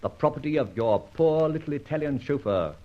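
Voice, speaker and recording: An actor playing a dashing, sardonic masked vigilante in an old-time radio drama, speaking in a theatrical, clipped and commanding way. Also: male voice, fairly high pitch, lively intonation, brisk, close to an everyday voice. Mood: accusing, commanding. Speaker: lead actor in a radio detective serial. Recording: dull vintage radio recording.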